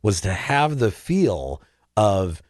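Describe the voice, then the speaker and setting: A man's voice: fairly low pitch, very animated, slow pace, a little mellow, no room sound, studio quality. A man in a casual podcast discussion, explaining things in an earnest, emphatic way as a guest.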